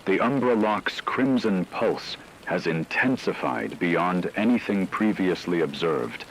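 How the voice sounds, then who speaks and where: A man playing a grave radio announcer, solemnly reading scripted horror narration in a measured, ominous way. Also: sits low, vocal fry, fairly bright tone, slight background noise, heavily acted, low-quality recording. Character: grave male radio announcer reporting a werewolf apocalypse. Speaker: radio emergency broadcast announcer.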